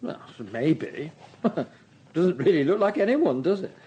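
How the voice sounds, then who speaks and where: An actor playing a camp, smug male hotel owner in a radio drama, arch, insolent and languid, with a husky voice. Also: a little high, sing-song, slow, warm tone, highs cut off, slight background noise. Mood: smug, evasive.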